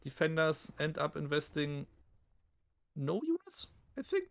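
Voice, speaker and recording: A man with a thick German accent casts a live game tournament. His voice sits a little high for a man, with a gravelly fry in it. He comments casually, joking and poking fun at himself while addressing the viewers. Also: wildly swooping pitch, measured pace. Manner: self-deprecating, talks to chat and viewers.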